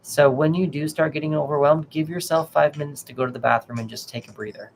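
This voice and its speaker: Tarot reader, male, gravelly, mid pitch, reassuring and casual, faint noise behind. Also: fairly even intonation, quick pace. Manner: gentle, reassuring, lots of restarts. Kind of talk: live tarot reading, casual advice.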